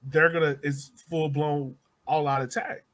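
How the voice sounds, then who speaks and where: A man's voice: fairly high pitch, level tone, a little slow, a bit bright. A man hosting a casual soccer podcast, talking informally and emphatically like a devoted fan. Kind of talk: casual sports podcast chat.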